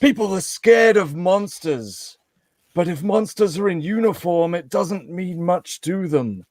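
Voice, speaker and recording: A man's voice: high-pitched, a little slow, darkish tone, dry recording. A man voicing a male alien Sith villain, theatrical and petulant, in a comedic sci-fi table read. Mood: mock menace.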